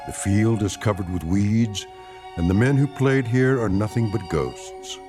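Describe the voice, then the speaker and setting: A man giving measured, ominous scripted narration for a radio drama. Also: on the low side, music in the background, lightly acted.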